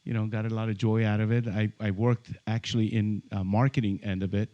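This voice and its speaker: A man from Iran, a guest on a podcast, talking in a friendly way with many pauses and fillers. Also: lowish voice, little pitch movement, lo-fi sound. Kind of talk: casual interview conversation. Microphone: studio microphone with headphones.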